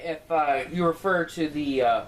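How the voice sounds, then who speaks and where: A man's voice: on the high side, moderate pace, noisy background. A young man casually reading viewer questions aloud to his father. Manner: offhand, reads questions aloud. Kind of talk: casual comment-response chat.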